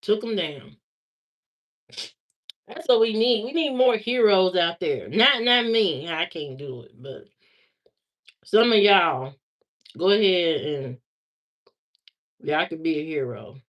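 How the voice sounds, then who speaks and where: A woman's voice: fairly low pitch, lively intonation, takes it slowly, long pauses. A woman from Indiana chatting casually on a livestream, answering viewer comments and repeating herself as she talks back to them. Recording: slightly muffled lo-fi stream audio.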